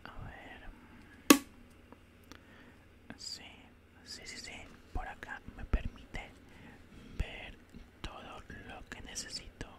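A young man playing a calm, politely menacing alien doctor in a soothing whispered ASMR roleplay, close to the microphone. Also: natural voice. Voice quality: soft, breathy unvoiced whisper. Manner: slow, soothing, matter-of-fact. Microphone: close studio microphone.

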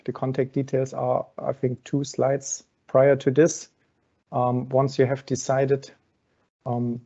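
Gravelly dark-voiced guy hosting a webinar q&a over a video call, lots of ums, muffled audio. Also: medium pitch. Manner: explains step by step with frequent fillers. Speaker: webinar host from an exchange. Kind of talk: answering audience questions in a webinar.

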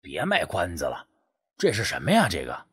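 A man acts out a sceptical young guy in an audio ghost story. He is blunt and mocking, impatient with his friend, his husky voice very animated over music. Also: deep voice, normal speed, voice pushed down, exaggerated voice. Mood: dismissive. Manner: blunt, sarcastic.

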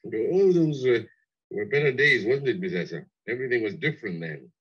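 An actor playing a polite, needling former chauffeur who dwells wistfully on old days in a 1960s radio drama. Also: male voice, mid-range voice, expressive, brisk, fairly bright tone, slightly rough. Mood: sly, cheery. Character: nostalgic, ingratiating former chauffeur, loyal visitor. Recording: dull old 1960s radio play recording.

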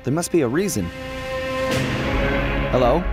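An actor playing an eager, determined young detective agent in a video game, earnest and bold. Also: male voice, mid-range voice, expressive, unhurried, natural voice. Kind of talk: animated game acting. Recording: voice under loud music.

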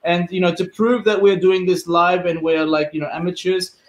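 A man's voice: sits high, level tone, normal speed, echoey room. A man hosting a casual live stream, upbeat and laid-back, calling his guest bro. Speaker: host. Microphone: webcam on a video call.

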